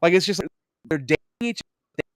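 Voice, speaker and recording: A man co-hosting a casual podcast, talking excitedly, laughing often and swearing freely. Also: a little high, brisk. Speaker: podcast co-host. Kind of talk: casual chat.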